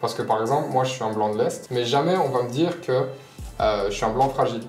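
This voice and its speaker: A man's voice: medium pitch, fast talker, darkish tone, roomy sound. A man in his mid twenties speaking assertively in a casual group discussion, repeating points for emphasis. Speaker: panelist, works as a manager.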